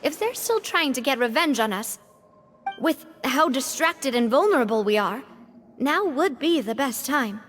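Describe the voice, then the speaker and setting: A high-voiced young guild boss. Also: female voice, expressive, a little slow, a bit bright, slight background noise. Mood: earnest. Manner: thoughtful, earnest, firm. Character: young woman boss of a guild, warm-hearted, resolute.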